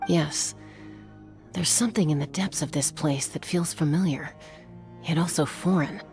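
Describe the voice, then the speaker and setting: A woman voicing a calm, knowing, serious mystic guide in a video game, measured and explanatory. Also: low voice, soft background music. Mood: solemn.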